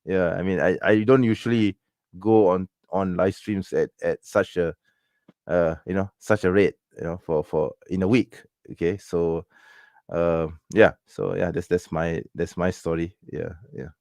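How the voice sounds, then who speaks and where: A man's voice: low-pitched, steady tone, measured pace, creaky, darkish tone, no room sound, clean studio sound. A man with a Malaysian accent hosting a live stream in casual conversation, often repeating himself and confirming with yeahs. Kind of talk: casual chat.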